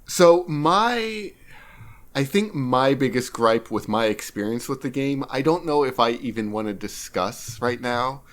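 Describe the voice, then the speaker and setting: A man's voice: mid-range voice, animated, unhurried. A man co-hosting a podcast, chatting casually about games in a wry, self-mocking way. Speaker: podcast co-host. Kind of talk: casual anecdotal game talk.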